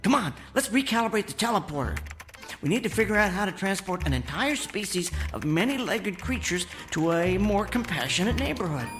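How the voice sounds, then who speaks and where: Mild eccentric inventor guy, high pitched, lively swings, polite n articulate, tv drama w faint music. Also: fairly quick. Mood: quietly satisfied. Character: mild eccentric male inventor, henpecked husband, loyal friend. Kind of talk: television drama acting. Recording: music under the voice.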